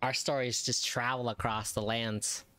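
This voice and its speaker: A man's voice: medium pitch, little pitch movement, unhurried, sharp tone, clean tone. A man giving casual, informal reactions as he plays through a game's story. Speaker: gamer reacting to a story quest. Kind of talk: casual gameplay commentary.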